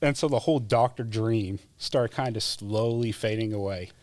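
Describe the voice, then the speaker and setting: A man, a former EMT, telling his life story in a relaxed, chatty way as a podcast guest, with frequent fillers and laughs. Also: on the low side, normal speed. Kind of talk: casual interview storytelling.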